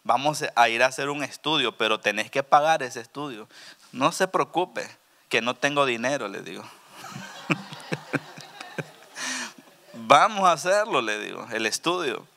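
Emphatic preacher, bright voice. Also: male voice, mid-range voice, animated, unhurried, pauses often. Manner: emphatic preaching, swings from soft asides to loud exclamations. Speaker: pastor. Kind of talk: testimony sermon. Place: church stage with purple lighting. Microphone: handheld microphone.

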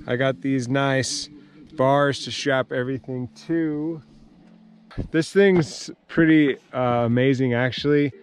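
A man in his thirties casually reviewing gear outdoors beside his van, relaxed and full of fillers. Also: a little high, slight background noise. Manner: relaxed, filler-heavy, easygoing. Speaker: vlogger.

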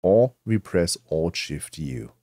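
Low-voiced man with lively intonation. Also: takes it slowly, dry recording, clean studio sound. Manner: explanatory, step-by-step. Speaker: tutorial presenter. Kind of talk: spoken software tutorial.

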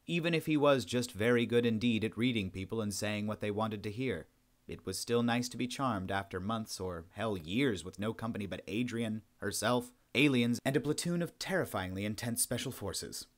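A man's voice: on the low side, normal speed. A man reading a science fiction story aloud as a scripted narration. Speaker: audiobook narrator.

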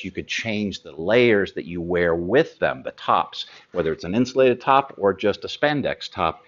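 An American man in his fifties presenting gear as an expert, explaining informally and haltingly to camera. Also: low voice, highs cut off. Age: 50s.

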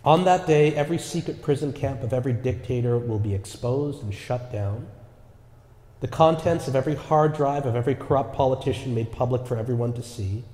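Man, pastor, earnest sermon, mellow warm tone, long reverb, church echo. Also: mid-range voice. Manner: earnest preaching with repeated rhetorical "right?" asides. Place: church sanctuary.

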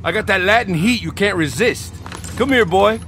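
An actor playing a cocky, self-pitying convict in a video game, talking in brash, needling slang. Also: male voice, high-pitched, animated, moderate pace, fairly bright tone, slight background noise. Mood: taunting. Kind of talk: video game dialogue acting.

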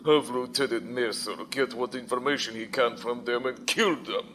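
A man's voice: medium pitch, steady tone, normal speed, fairly bright tone, slight background noise, close to an everyday voice. Man acting as coolly ruthless space-empire commander. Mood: cold command. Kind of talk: science fiction audio drama acting.

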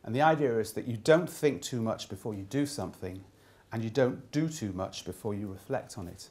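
A man in his fifties delivering a keynote speech, summing up from notes and often halting to repeat words. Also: lowish voice, animated, a little mellow. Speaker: keynote speaker summing up a design conference. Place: indoor room with plain wall.

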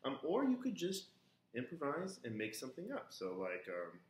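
A man in his thirties, a music teacher giving a relaxed, chatty lesson at home, full of ums and uhs, recorded by a camera on a kitchen table. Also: fairly high pitch, normal speed. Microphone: camera on the table in front of him. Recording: echoey kitchen with faint noise. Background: glasses clinking.

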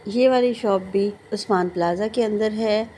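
Female shopping vlogger, mid-pitched voice, narrating over background noise. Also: normal speed, dark tone. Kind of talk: vlog narration of market stalls and prices. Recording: clearly noisy background.

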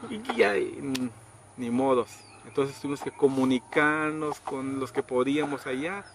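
A middle-aged man casually telling a story outdoors, acting out quoted people with sudden high pitched voices. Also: measured pace. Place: outdoors under trees in a rural yard. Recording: outdoor noise in background.

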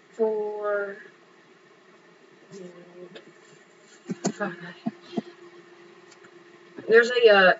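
A young woman casually narrating her own game play, chatting to herself and to other players. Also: medium pitch. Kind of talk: casual gameplay commentary. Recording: dull lo-fi mic with clear background hum.

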